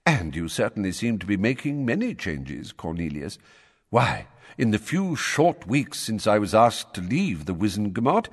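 A man voicing an old wizard who speaks mildly, politely and in measured sentences. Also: medium pitch, long reverb tail, voice pushed up. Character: calm, courteous old male wizard, quietly firm.

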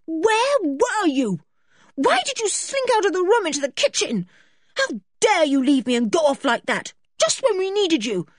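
A woman's voice: high-pitched, very animated, normal speed, no room sound, studio quality, pitched above the performer's own voice. A woman voicing a hot-tempered, imperious tomboy girl in a children's storybook. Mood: furious scolding. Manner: imperious, shouting and scolding.

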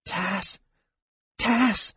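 A man voicing a brave young woman who pleads softly and urgently in a hushed voice. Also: sits high, expressive, a little slow, breathy edge, no room sound, lo-fi sound, pitched above the performer's own voice, full character voice.

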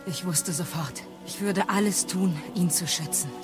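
Female actor as exiled mother goddess, wise, sorrowful, measured, solemn, radio play, music and rain behind. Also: lowish voice, close to an everyday voice. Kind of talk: dramatic audio play acting.

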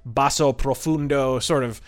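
A man's voice: mid-range voice, steady tone, measured pace, slight background noise. A man hosting a podcast, giving enthusiastic, wordy and emphatic review commentary. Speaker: podcast host.

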